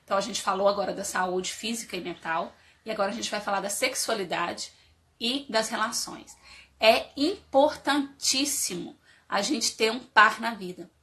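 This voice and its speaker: A woman's voice: mid-range voice, normal speed, sharp tone. A woman with a cold and a nasal voice, giving an informal motivational talk and asking her audience many rhetorical questions. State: has a cold. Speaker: speaker giving a live talk on life stages.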